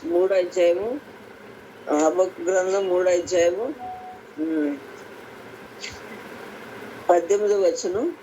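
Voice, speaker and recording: A woman's voice: lowish voice, slow pace, pauses often, dark tone, lo-fi sound. A woman gives an informal devotional sermon over a conference call, explaining scripture and repeating phrases for emphasis. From Andhra Pradesh, India. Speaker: lay preacher at a prayer meeting. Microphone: phone on a conference call. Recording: noisy conference call audio.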